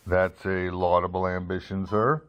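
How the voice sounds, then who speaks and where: A man's voice: low voice, flat delivery, moderate pace, muffled recording, a little hiss or hum, natural voice. A man playing a persistent investigative reporter in an old-time radio drama, matter-of-fact and clipped with a sardonic edge. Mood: dry, probing. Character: persistent, dry-witted male investigative newspaper reporter. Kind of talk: old-time radio drama, first-person narration and dialogue.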